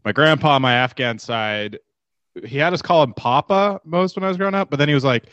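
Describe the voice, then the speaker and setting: A young man co-hosting a podcast over a video call from a kitchen at home, joking and rambling with quick asides. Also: medium pitch, fairly bright tone, no echo. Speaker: podcast co-host. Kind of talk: casual comedic chat. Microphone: video call mic. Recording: dull, dry video call audio.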